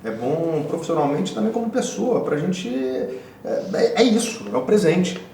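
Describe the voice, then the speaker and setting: A man's voice: a little high, lively intonation, normal speed, darkish tone, roomy sound, distant mic, a little hiss or hum. A man, an actor answering interview questions in a casual, enthusiastic, slangy way.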